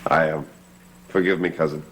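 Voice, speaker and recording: A man's voice: extremely low pitch, steady tone, moderate pace, a bit bright. A man playing the imperious, tormented master of a gothic hall in a TV soap, with formal, dramatic delivery. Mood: dazed, anxious. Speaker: actor in a TV serial. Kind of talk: gothic soap opera acting. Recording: old TV soundtrack, music, hum.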